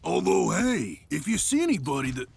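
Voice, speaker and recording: An actor playing a big, good-natured warrior in a video game, jovial and folksy in manner. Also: male voice, fairly high pitch, huge pitch swings, moderate pace, gravelly, darkish tone, slight background noise, full character voice. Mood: hearty, frank. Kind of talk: video game cutscene acting.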